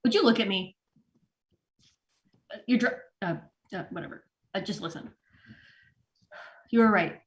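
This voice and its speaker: A woman acting a disorganized, sheepish store manager in a comedy table read, halting and awkward as she rambles. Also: medium pitch, lightly acted. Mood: flustered, conceding.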